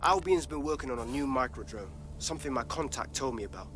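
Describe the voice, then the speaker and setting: Man playing a bright-voiced streetwise hacker. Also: medium pitch, animated, fast talker, close to an everyday voice. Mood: conspiratorial, eager. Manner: slangy, informal, sweary. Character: streetwise, foul-mouthed young male hacker. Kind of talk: naturalistic video game acting.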